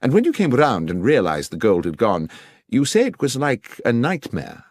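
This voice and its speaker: A man voicing a brisk, coolly confident Victorian detective with an English accent, precise and authoritative, in an audiobook. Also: mid-range voice, huge pitch swings, a little mellow, no echo, studio quality. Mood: probing. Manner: precise, authoritative, methodical questioning. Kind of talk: audiobook character dialogue.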